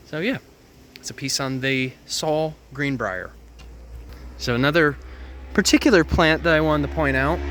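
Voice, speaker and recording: Slow-talking man guiding a nature walk. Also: mid-range voice, fairly bright tone, slight background noise. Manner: chatty, self-amused with puns and asides. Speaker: nature guide. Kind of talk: outdoor educational walk-and-talk. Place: outdoors in a Florida hammock woodland.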